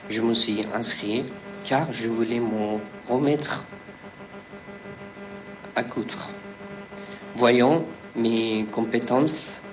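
A man, reading a personal testimony slowly and haltingly, with restarts. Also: mid-range voice, fairly even intonation, music in the background, rough recording. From Afghanistan. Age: 30s. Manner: slow, halting delivery with restarts. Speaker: refugee giving testimony.

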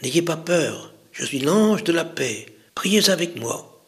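A man voicing a gentle, solemn adolescent angel in a religious story, speaking in measured, prayerful phrases. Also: on the high side, animated. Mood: gentle reassurance.

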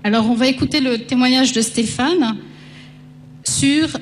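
A woman's voice: sits high, measured pace. A woman hosting, addressing an audience informally and encouragingly between testimonies. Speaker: co-host introducing testimonies. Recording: reverberant hall, faint background noise.

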